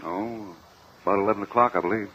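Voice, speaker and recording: Very deep-voiced evasive businessman. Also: fairly even intonation, breathy edge. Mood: halting. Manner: halting, hedging, defensive. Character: uneasy, evasive businessman testifying as a witness. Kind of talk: 1950 radio courtroom drama acting. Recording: muffled, hissy old radio recording.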